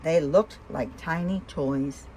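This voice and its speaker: A woman reading a picture book aloud for young children with expression, pausing to ask the listeners simple questions. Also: deep voice, dark tone, breathy edge. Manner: asks listeners simple questions between story lines. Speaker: storyteller and host of a read-aloud show.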